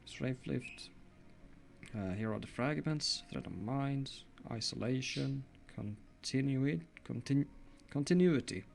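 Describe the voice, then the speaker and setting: A man's voice: mid-range voice, takes it slowly, gravelly, soft background music. A young man with a Norwegian accent giving chatty gameplay commentary as host of his gaming channel, often correcting himself mid-sentence. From Norway. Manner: chatty, walks through game loadout with frequent self-corrections.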